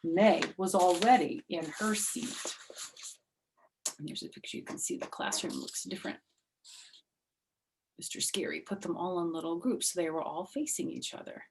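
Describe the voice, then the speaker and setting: Expressive woman reading a children's story. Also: lowish voice, a little fast, vocal fry, a little hiss or hum, rough recording. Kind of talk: animated read-aloud with asides to listeners.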